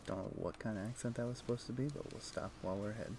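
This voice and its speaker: A man's voice: lowish voice, very fast, creaky, noisy background. A man casually commentating a game live for his chat, reacting in short offhand remarks. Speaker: game streamer.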